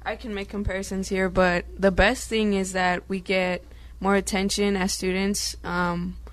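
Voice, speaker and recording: A man's voice: sits high, flat delivery, unhurried, a bit bright, no echo. An eighteen-year-old man, a graduating student guest, speaking casually with many ums.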